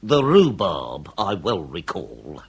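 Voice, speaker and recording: Husky, swooping theatrical inspector. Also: male voice, deep voice, huge pitch swings, full character voice. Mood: probing. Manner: halting questions, ornate vocabulary. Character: ponderous, wily, theatrical police inspector. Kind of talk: period comedy radio drama acting.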